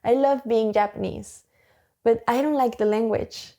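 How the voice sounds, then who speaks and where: A woman's voice: a little high, fairly even intonation, normal speed, mellow. A woman speaking bluntly in an interview, repeating herself for emphasis. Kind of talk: candid interview.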